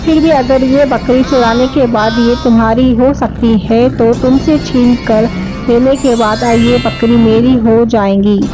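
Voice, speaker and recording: A bossy, self-satisfied lion king from a cartoon story, voiced by a woman, lowered from her high voice. He talks in a grand, commanding manner. Background music runs throughout. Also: fairly even intonation, measured pace, natural voice, low-quality recording. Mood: angry. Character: proud bossy male lion, king of the jungle.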